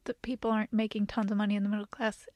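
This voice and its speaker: A woman with a gentle, soft voice hosting a podcast in a casual, chatty conversation. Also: mid-range voice, level tone, no echo. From United States. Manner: chatty, quick-talking, laughs easily. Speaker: podcast host.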